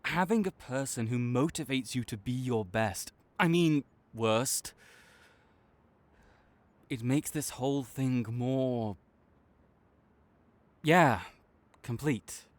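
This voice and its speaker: A man playing a proud supervillain in a dramatic audio roleplay, grudging and exasperated, with a secret soft spot that makes him flustered. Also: mid-range voice, slow pace, long pauses, no echo, close to an everyday voice. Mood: awkward, grudging affection. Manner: tsundere, grudging, exasperated, stammering when sincere.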